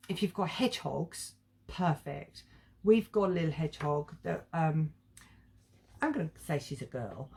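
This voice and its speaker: Middle aged woman chatting casually like a book vlogger, kinda rambly, medium pitch. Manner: informal, rambling, conversational. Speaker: children's book reviewer and vlogger. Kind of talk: casual chatty review to camera.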